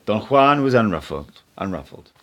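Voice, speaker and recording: Low-voiced man reading an audiobook. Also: sing-song, normal speed, fairly bright tone. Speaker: amateur audiobook reader. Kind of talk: scripted audiobook narration with acted dialogue.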